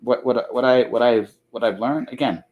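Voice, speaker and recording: A man's voice: mid-range voice, moderate pace, narrow, tinny audio, slight background noise. A man hosting a podcast in casual chat, rambling as he repeats and rephrases himself. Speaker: podcast host.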